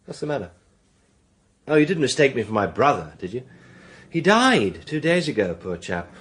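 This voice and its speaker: Cocky charming english gent detective in a radio play, suave, very animated voice. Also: male voice, medium pitch, moderate pace, slightly rough. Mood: smooth, bluffing. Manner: suave, assertive, articulate. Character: confident, brash, charming English gentleman amateur sleuth. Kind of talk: radio drama acting.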